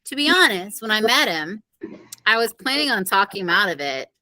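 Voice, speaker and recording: A woman voicing a firm, fair-minded female teacher and coach in a children's audiobook, speaking in a measured, admonishing manner. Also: medium pitch, very animated, pushed and bright, poor audio. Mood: firm, reasoning. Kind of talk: children's audiobook character reading.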